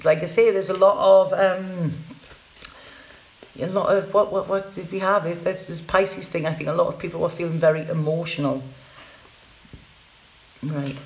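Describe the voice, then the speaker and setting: A woman's voice: fairly low pitch, steady tone, moderate pace, pauses often, darkish tone. A woman reads tarot cards live for her viewers in a loose, chatty way. She repeats words and keeps checking in with "okay?". Her voice rings in a roomy, echoey space. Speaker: tarot reader. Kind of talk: live tarot reading, casual chat to viewers.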